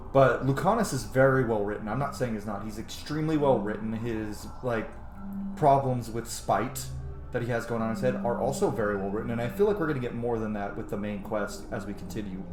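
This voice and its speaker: A man in his thirties giving casual, gushing gameplay commentary as a streamer, sometimes swearing. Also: on the low side. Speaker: let's play streamer. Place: small room at home, indoors. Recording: loud game music behind, roomy sound. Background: video game music.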